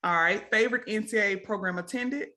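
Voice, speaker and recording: A woman hosting a web interview show on a video call, bubbly and quick to laugh. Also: medium pitch, bright, forceful tone. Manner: bubbly, laughs often, repeats "very nice". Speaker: host.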